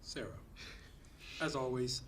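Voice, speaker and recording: A man's voice: medium pitch, little pitch movement, a little slow, a bit bright, lightly acted. A man voicing a smug, controlling manager of superhero girls in a comedic table read. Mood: condescending. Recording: slightly distant mic, faint noise.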